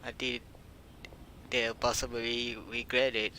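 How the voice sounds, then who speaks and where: A man who is a non-native English speaker, giving casual, hesitant live-stream commentary on a game. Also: monotone. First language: not English. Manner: halting, hesitant phrasing. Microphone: uncovered microphone, prone to breath pops. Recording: noisy microphone with clicks and rumble.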